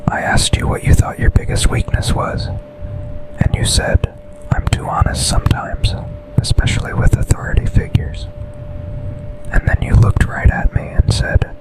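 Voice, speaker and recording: A man playing an intense, tender office boss and secret lover in a breathy, intimate whispered ASMR boyfriend roleplay. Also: lightly acted, poor audio. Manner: slow, intimate, deliberate delivery with pauses.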